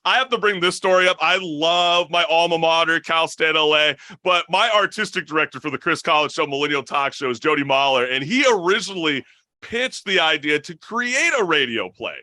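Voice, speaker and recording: Young man, talk show host, high bright voice, effusive, casual interview chat, dry close sound. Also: lively intonation, fairly quick, dry recording. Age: young adult. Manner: enthusiastic, effusive.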